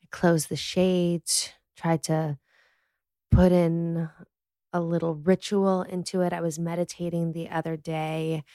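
A woman's voice: lowish voice, little pitch movement, slow pace, warm tone, clean tone, dry recording. A woman in her thirties hosting a casual solo podcast, talking in a reflective, easygoing way, a bit congested from a cold. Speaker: podcast host. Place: dim bedroom.

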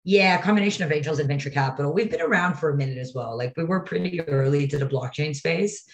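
A woman from Brazil, a startup founder, talking as an interview guest, quick and confident. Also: low voice, roomy sound. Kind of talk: interview conversation.

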